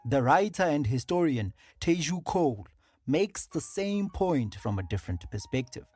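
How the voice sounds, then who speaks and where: A narrator reading an audiobook in a measured, deliberate and formal way. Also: medium pitch, sing-song, darkish tone, slightly rough, no echo, faint music behind.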